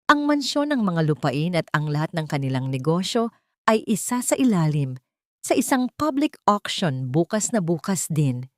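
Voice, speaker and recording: A woman's voice: lowish voice, sing-song, moderate pace, no echo, studio quality. Woman voicing serious, formal Filipino lawyer. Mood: grave triumph. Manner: formal, businesslike. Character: serious, respectful lawyer reporting to a client.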